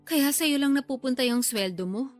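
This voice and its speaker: A woman's voice: sits high, moderate pace, faint music behind, natural voice. A woman playing a hard-up, complaining middle-aged older sister and mother in a Filipino radio drama. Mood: bitter complaining. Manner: complaining, defensive, blunt. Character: aggrieved, hard-up older sister, struggling mother of many children.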